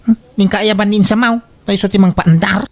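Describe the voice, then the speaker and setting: A woman's voice: mid-range voice, fairly even intonation, normal speed, darkish tone, natural voice. A woman playing a bewildered, fretful rural householder in an Ilocano comedy radio drama, full of questions and exclamations, with an Ilocano accent. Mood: grumbling. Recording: clearly noisy background.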